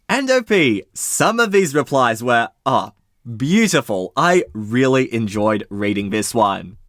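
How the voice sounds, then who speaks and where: Animated, wry Reddit story narrator. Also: medium pitch, no room sound, clean studio sound. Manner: playful, wry commentary. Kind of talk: reading stories and commenting.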